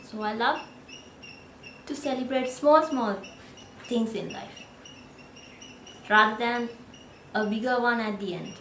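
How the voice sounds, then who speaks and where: A woman in her thirties with a Sri Lankan accent, whose first language is Sinhala, chatting casually to the camera in a gentle, unhurried way. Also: fairly high pitch, echoey room, slight background noise, poor audio. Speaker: vlogger.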